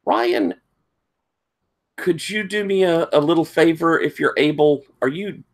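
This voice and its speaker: A man's voice: on the high side, measured pace. A tired man with a thick Southern US accent, politely walking players through tabletop game setup as game master. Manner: polite, accommodating. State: tired from lack of sleep.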